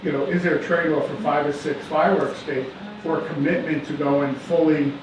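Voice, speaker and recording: A man's voice: a little high, little pitch movement, fairly quick. Man making a suggestion, echoey distant mic. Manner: persuasive, hedging with fillers. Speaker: resident commenting. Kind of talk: public comment. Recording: echoey room, distant, noisy.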